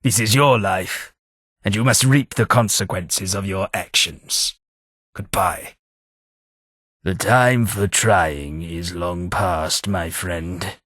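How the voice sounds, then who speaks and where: A man with a British accent voicing a centuries-old, courteous gentleman companion in a video game, formal and dryly wry. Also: low-pitched, slow pace, no room sound, studio quality, heavily acted. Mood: measured.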